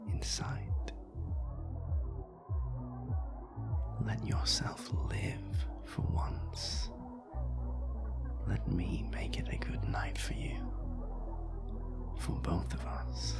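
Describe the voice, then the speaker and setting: Low, whispering, seductive vampire, acted out. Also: heavily acted. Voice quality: breathy, whispery. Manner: slow, suave, teasing. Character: seductive, courtly vampire flirting with a stranger. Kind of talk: intimate romantic audio roleplay. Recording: music behind the voice.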